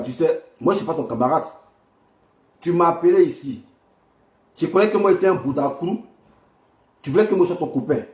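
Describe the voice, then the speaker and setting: Echoey, rambling Ivorian man livestreaming. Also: fairly high pitch. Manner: rambling, repetitive, blunt and crude. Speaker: livestream host. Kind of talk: informal livestream political commentary. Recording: echoey room, distant from the mic.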